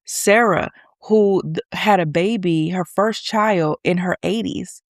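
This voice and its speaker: A woman's voice: lowish voice, no echo, studio quality. A woman in her thirties hosting a faith podcast, a little congested from allergies, talking in an earnest, encouraging way. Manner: earnest and encouraging, sometimes slow and emphatic. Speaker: podcast host. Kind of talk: faith-based encouragement monologue.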